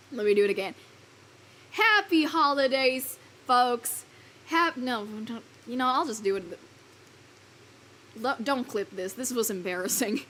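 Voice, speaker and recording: High-pitched girl streamer just rambling to chat, lots of giggles and cussing. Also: a bit bright, slight background noise. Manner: giggly, rambling, swears casually; loose and playful, fumbles and restarts lines. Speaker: virtual streamer. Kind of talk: casual live-stream chat with viewers.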